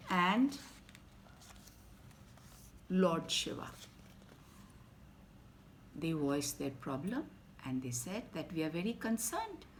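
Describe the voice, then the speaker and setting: A woman storyteller with an Indian English accent reads a bedtime tale for children. Her voice swoops up and down with drama, and she sometimes drops to a whisper. She speaks slowly and deliberately, with a faint hiss of noise behind her. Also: lowish voice.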